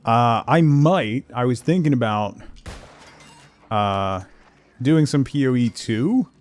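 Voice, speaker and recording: A man's voice: mid-range voice, animated, slow. A man doing a casual, rambling livestream over a video game, talking conversationally with viewers. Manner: rambling, conversational, reads chat and game text aloud. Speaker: game streamer, host.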